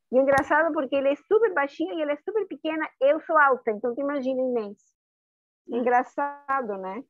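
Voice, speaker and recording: A woman's voice: sits high, no room sound. A woman from Uruguay chatting casually on a video call, rambling and laughing at herself. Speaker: online class participant. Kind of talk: casual chat. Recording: dry, tinny video-call line.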